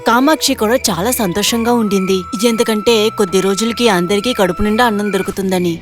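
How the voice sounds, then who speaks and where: A woman narrator reading a scripted moral story for an animated video. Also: mid-range voice, a little fast, music in the background.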